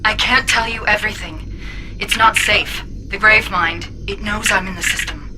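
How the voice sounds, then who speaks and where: A woman playing an artificial intelligence in a game, delivering an urgent warning in a grave, halting way. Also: medium pitch, steady tone, sharp tone, low-quality recording. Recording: game audio with music behind.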